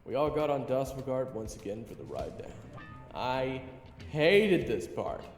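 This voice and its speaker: A man's voice: medium pitch, very animated, a little slow. A man playing a wry young adventurer who narrates his own fantasy tale in a candid, conversational way. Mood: wry storytelling. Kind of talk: first-person story narration. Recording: reverberant, music behind.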